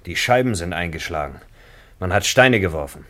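An actor playing an excitable, insistent man, someone's fiancé, in a radio play. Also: deep voice, normal speed. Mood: worried. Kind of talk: German radio play acting.

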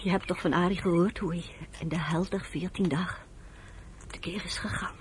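A woman's voice: lowish voice, fairly even intonation, normal speed, lightly acted. An actress playing an earnest woman who speaks with tender, pleading persuasion in a radio drama. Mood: grave, gentle. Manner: pleading, affectionate, emphatic. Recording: noisy old radio play recording.

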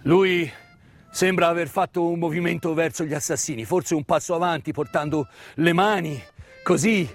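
A man tells a story as a theatrical monologue. His delivery is intense and emphatic, broken by pauses and repeated words. Live music plays behind him. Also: on the high side. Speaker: stage actor-narrator. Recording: live stage performance with music.

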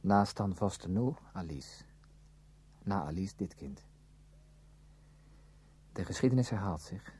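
A brooding man burdened by guilt, with a low, mellow voice. He speaks in a measured, inward, hesitant way, as in a confessional radio play. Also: lively intonation, husky, exaggerated voice. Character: brooding, guilt-ridden man narrating his past, protective. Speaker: narrator and lead character. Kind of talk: Dutch radio drama acting, confessional narration.

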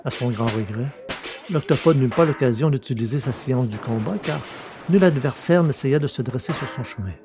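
A male narrator reads a science-fiction tale from a script. His voice sits at an average pitch, with faint music behind it. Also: normal speed.

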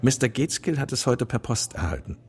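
A man playing a grave police commissioner and father in a radio crime drama, measured and serious. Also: medium pitch, sing-song, close to an everyday voice.

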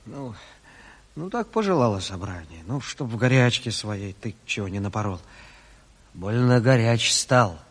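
Folksy sailor comrade in echoing hall. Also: male voice, lowish voice, animated, a little slow. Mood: sheepish. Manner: folksy, teasing, colloquial. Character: blunt, loyal, salty sailor comrade, lame. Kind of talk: theatrical radio drama acting. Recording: reverberant 1970s radio play.

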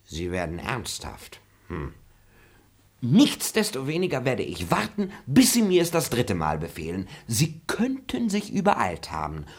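A man's voice: mid-range voice, huge pitch swings, unhurried, slightly rough, exaggerated voice. An actor playing an insolent male servant who mocks his master in a radio drama. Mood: mocking. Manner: insolent, mocking. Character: cheeky grumbling male servant.

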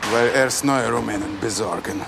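Slow, brusque man. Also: lowish voice, gravelly, music in the background. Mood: brusque, impatient. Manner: clipped broken German, dropping words. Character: busy, brusque foreign man brushing off police. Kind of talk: radio drama acting.

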